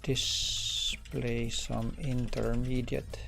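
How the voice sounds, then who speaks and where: A middle-aged man with a Dutch accent explains code on a live stream at a slow, unhurried pace. His voice is gravelly, and he stops often with fillers and restarts. Faint music plays behind him at his desk. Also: medium pitch. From Netherlands. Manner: relaxed, hesitant with many fillers and restarts. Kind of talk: live coding stream, thinking aloud.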